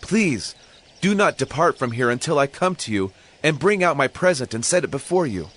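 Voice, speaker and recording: A man's voice: mid-range voice, very animated, normal speed, a bit bright. A man playing a humble, doubting, fearful young Israelite in a dramatized scripture reading, pleading and earnest.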